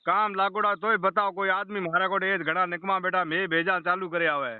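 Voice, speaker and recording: A man's voice: sits high, steady tone, fairly quick, smooth, no room sound. A man with a Rajasthani accent making an exasperated, insistent complaint as a customer, in colloquial dialect, over the phone. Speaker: customer phoning in a power-cut complaint. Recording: muffled, band-limited phone call recording.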